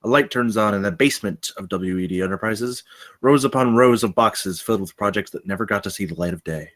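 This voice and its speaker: A man's voice: lowish voice, brisk, fairly bright tone. A man narrating the scene headings and stage directions at a screenplay table read.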